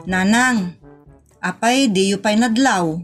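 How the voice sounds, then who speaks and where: Woman playing an earnest, slow-talking reproachful Filipino daughter. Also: medium pitch, over music, close to an everyday voice. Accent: northern Philippine. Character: grown daughter earnestly reproaching her mother. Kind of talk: Ilocano comedy radio drama acting.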